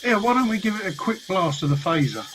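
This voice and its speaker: A man's voice: high-pitched, very animated, fast, a bit bright, a little hiss or hum. A man playing a chirpy, wily crewman in a comedy radio play, casual and matter-of-fact.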